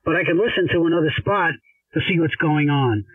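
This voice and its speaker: Older guy on ham radio, kinda high voice, rambles and repeats himself, thin phone-like band. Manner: rambling, halting explanations with repeated words. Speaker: amateur radio operator. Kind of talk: casual ham radio conversation. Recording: roomy, narrow-band radio sound, distant.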